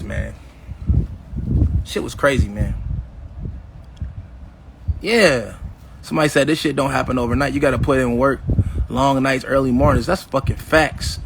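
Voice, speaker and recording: A man's voice: medium pitch, vocal fry, a little hiss or hum, rough recording. Swaggering man in his fifties, chatting to viewers. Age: 50s. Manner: swaggering, addresses viewers directly as y'all; acts out past conversations in quotes. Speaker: vlogger. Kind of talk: casual boastful livestream talk.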